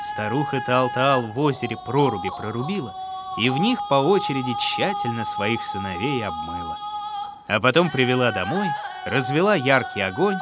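A man narrating a folk tale as a storyteller, with dramatic, expressive and deliberate phrasing. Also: mid-range voice, loud music behind the voice.

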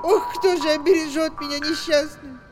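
Man putting on a high-pitched lamenting old woman. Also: steady tone, moderate pace, soft background music. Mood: woeful, plaintive. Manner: plaintive, moaning lament. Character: lamenting frightened old woman, mother of three.

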